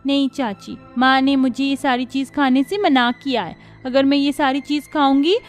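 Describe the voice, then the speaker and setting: A woman's voice: high-pitched, steady tone, moderate pace, soft background music, natural voice. A woman voicing an innocent, stubborn little girl in a cartoon, childlike and pleading. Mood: earnest. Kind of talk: Hindi cartoon voice acting.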